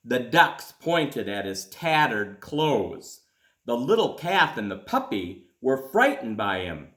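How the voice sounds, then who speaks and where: A man reads a children's story aloud at a slow, careful pace, with plenty of dramatic pauses. His pitch swings widely as he narrates. The room around his voice is noticeably echoey. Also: sing-song, fairly bright tone. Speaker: school principal reading aloud.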